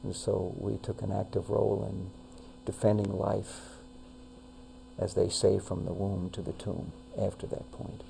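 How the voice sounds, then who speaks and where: An older man with a New York accent speaks as a guest on a local talk show, his voice low and heavily gravelly. He talks in a measured way, often pausing on fillers and restarting a phrase. A faint mains hum sits under the recording. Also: monotone, dark tone, rough recording. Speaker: retired pastor, guest. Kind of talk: casual interview talk.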